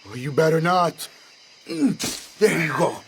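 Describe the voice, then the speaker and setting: An actor playing a wounded, sly and defiant assassin in a radio drama, taunting in a gravelly voice. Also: male voice, on the high side, big pitch swings, takes it slowly, background noise, full character voice. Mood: weakened, mocking. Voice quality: gravelly, creaky.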